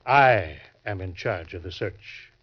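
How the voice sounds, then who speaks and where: An actor playing a crafty, genial older swindler in an old-time radio crime drama, speaking in a suave, deliberate way. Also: male voice, sits low, lively intonation, husky, exaggerated voice. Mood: sly, assured. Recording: dull vintage radio recording.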